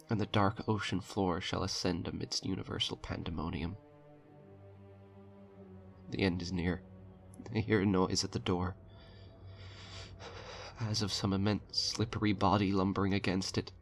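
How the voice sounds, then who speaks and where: A man with a very low voice reads a horror story as a dramatic narrator. His tone is heavy with creak and fry, and music plays underneath the reading. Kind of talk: dramatic audiobook-style narration. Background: eerie background music.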